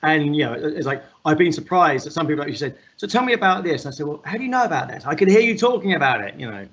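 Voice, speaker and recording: A man hosting an online meetup in casual talk. He has a loud, projecting voice and rambles and jokes, with lots of fillers. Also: fairly high pitch, very animated, very fast, lo-fi sound. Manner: rambling, filler-heavy, joking. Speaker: meetup host.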